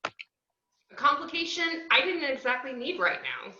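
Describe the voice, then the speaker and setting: A brash, wry antiheroine, played by a woman at an online table read. Her piercing, lively voice comes through a roomy, phone-like connection. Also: sits high, a little slow, full character voice. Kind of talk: amateur table read over video call. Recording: roomy, thin phone-like video call.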